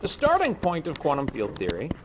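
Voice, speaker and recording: Soft-spoken male lecturer with a cold. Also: a little high, wildly swooping pitch, brisk, noisy background. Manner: speaks softly to save his voice, with frequent ums. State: has a cold, losing his voice. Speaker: physics lecturer. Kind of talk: university lecture. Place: lecture room.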